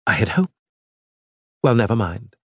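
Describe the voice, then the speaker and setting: A man voicing a cunning, fussy alien male trader, raising his voice high and indignant. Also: big pitch swings, unhurried, husky, no echo, clean studio sound, heavily acted. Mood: wheedling.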